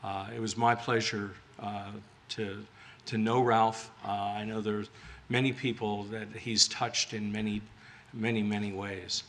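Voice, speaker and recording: Halting, even-toned man giving a memorial tribute. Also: fairly low pitch. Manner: halting and hesitant, with frequent pauses. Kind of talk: informal eulogy speech. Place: memorial gathering at a retirement community. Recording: live speech with clear background noise.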